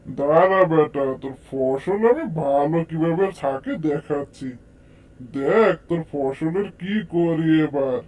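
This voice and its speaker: A man's voice: on the high side, dark tone, rough recording. A man voicing a sneaky, vengeful village landlord in a cartoon, muttering plots and threats to himself. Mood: menacing, vengeful. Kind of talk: amateur cartoon voice acting.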